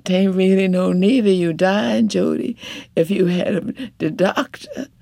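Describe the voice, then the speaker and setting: Reader doing a country wife, higher voice, gravelly and husky, pleading hard. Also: unhurried, dark tone, dry recording. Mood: reproachful. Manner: earnest, rising to fierce reproach. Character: young rural wife, hurt but defiant, pleading.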